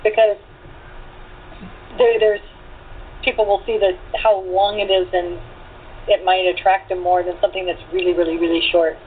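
A woman's voice: on the low side, level tone, normal speed, long pauses. A woman talking informally in a casual group chat. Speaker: radio play actor and writer. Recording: tinny phone-like line with clear noise.

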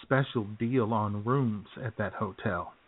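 A man's voice: fairly low pitch, a little slow, husky, narrow, tinny audio. A man hosting a comedy radio show in casual, irreverent banter with mock indignation, on thin-sounding audio.

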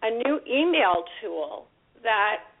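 Hoarse woman calling in by phone. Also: on the high side, big pitch swings, slow pace. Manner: upbeat, chatty. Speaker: caller, panelist. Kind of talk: casual chat. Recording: tinny phone line.

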